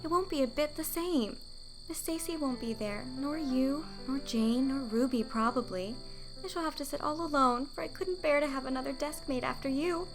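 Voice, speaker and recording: A gushy devoted schoolgirl bestie, high plaintive voice, storybook drama with music under it. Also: moderate pace, poor audio. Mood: dismal, wistful. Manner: plaintive, gushing.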